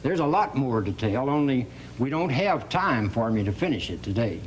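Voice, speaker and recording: A man's voice: medium pitch, normal speed, close to an everyday voice. A man playing a jovial cowboy storyteller, spinning tall tales in a folksy manner for an educational radio drama. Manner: folksy drawl, chuckling, animated. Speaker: guest storyteller. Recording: hum and faint background noise.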